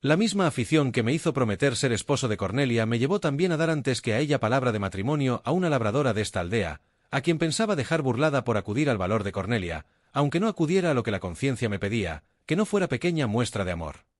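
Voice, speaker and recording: A courtly, self-assured young duke speaks in measured, formal phrases, his pitch rising and falling freely, as a male narrator reads him aloud. The voice is dry and close, with no room around it. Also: big pitch swings, dry recording.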